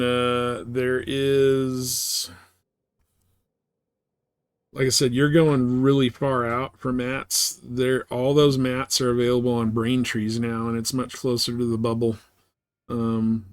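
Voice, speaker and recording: A man streaming a game live, chatting casually and thinking out loud in a rambling way. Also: medium pitch. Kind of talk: casual gameplay chat.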